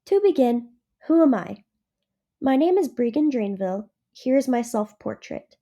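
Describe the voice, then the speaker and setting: A young woman from northern Ontario, a native French speaker, narrates a prepared class essay. Her voice sits a bit high yet sounds very dark and mellow. She reads formally and evenly. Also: big pitch swings, normal speed, lo-fi sound. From northern Ontario, Canada. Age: young adult. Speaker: university student. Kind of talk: scripted video essay narration.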